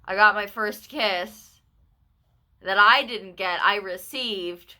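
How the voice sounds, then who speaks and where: A woman in her twenties telling a personal story in a casual, candid vlog, full of quick asides and self-corrections. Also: mid-range voice, bright, forceful tone. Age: 20s. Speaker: vlogger.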